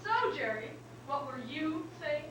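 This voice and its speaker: Actress as a composed female talent agent, high voice, dry teasing, distant mic, noisy hall. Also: animated, unhurried. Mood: assured. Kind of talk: staged reading before an audience. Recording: roomy, distant, noisy live recording.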